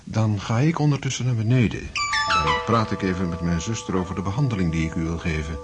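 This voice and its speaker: Radio drama doctor played by a male actor: low voice, calm, paternal, soothing, a touch condescending. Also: loud music behind the voice, close to an everyday voice. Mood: soothing, patronising. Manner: measured, soothing, patronising. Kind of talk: Dutch radio drama acting.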